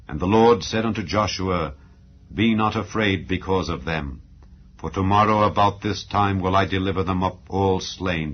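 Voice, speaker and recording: Male scripture narrator, low register, solemn and declamatory, slightly muffled lo-fi sound. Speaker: audio Bible narrator. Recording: dull lo-fi recording. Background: background music.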